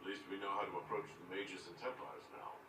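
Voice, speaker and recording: A man's voice: low-pitched, flat delivery, quick pace, natural voice. An actor playing an earnest, hopeful male hero in a fantasy video game. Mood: earnest, inquiring. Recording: echoey distant game capture, noisy.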